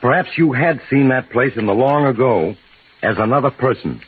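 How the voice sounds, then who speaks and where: An actor playing a grave, learned doctor in a 1940s American radio mystery, speaking in a measured, solemn way. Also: male voice, medium pitch, animated, lightly acted. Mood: grave, foreboding. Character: grave, learned male doctor warning of spirits. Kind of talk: 1940s radio drama acting. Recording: noisy, narrow-band 1940s radio recording.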